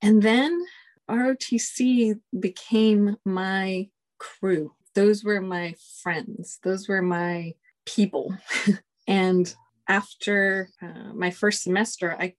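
A woman in her forties whose first language is Spanish, a colonel telling stories in a casual interview, relaxed and chuckling often. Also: mid-range voice, slow pace. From United States. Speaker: guest, Air Force colonel.

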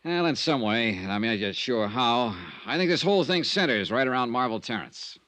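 An actor playing a shrewd, hard-boiled insurance investigator in a 1950s radio detective drama, clipped, dramatic and wry. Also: male voice, mid-range voice, expressive, normal speed, a bit bright, no room sound, close to an everyday voice. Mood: thoughtful.

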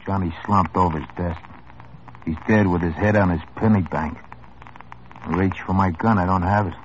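An actor playing a small-time hoodlum in an old radio drama, tough, wry and world-weary. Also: male voice, low-pitched, fairly even intonation, moderate pace, slight background noise, close to an everyday voice. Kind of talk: 1950s radio drama acting. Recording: muffled old radio broadcast.

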